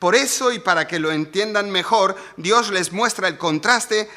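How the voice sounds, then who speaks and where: High, bright-voiced preacher. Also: male voice, moderate pace. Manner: conversational, asks the audience rhetorical questions. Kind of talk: sermon.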